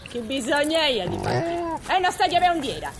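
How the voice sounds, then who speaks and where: A woman with a Gallurese Sardinian accent performing in an audio drama, with a lively, sing-song delivery. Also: high voice, moderate pace, crowd noise. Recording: loud background noise.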